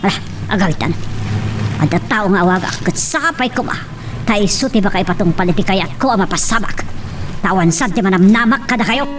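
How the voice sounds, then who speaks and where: A woman's voice: mid-range voice, expressive, a little fast, distant mic, close to an everyday voice. A woman playing a scheming, commanding wealthy mother in a radio-style drama. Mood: menacing.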